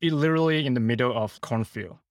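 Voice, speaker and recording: A man's voice: medium pitch, quick pace, no room sound, clean studio sound. A man with a Hong Kong accent telling his personal story casually as an interview guest. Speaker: podcast guest.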